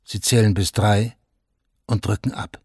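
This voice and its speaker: An actor as the sober, detached narrator of a radio crime play, reading scripted prose. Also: male voice, fairly low pitch, fairly even intonation, a little slow, background noise.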